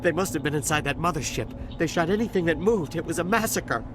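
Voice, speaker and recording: Man acting nervous, high-voiced male dockworker survivor. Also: animated, lightly acted. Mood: subdued, haunted. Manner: halting, nervous, stammering. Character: shaken, sheepish, cowardly male dockworker survivor. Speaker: voice actor in a video game. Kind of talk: dramatic game dialogue. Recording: game soundtrack music behind voice.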